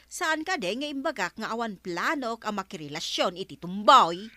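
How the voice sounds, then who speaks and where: A woman's voice: mid-range voice, big pitch swings, normal speed, pushed and bright. A woman playing an evasive, easily irritated village woman in an Ilocano comedy drama, hesitant and drawing out her words.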